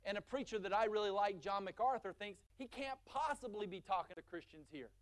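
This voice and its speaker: High-pitched guy preaching, gets loud on the lists then goes way quiet for the big point. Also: fairly quick. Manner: emphatic, building in volume through lists, dropping low for key points. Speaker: pastor. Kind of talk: sermon.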